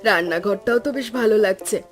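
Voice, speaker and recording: A woman voicing a gentle, caring cartoon mother. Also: medium pitch, a little fast, music in the background, lightly acted. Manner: gentle, soothing. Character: caring middle-aged wife and mother, gentle. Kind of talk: cartoon voice acting.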